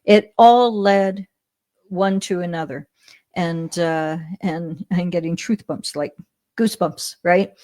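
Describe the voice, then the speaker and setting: A middle-aged Canadian woman telling her story as an interview guest, animated, often laughing, with many restarts. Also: fairly low pitch, slow, no echo, studio quality. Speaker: podcast guest.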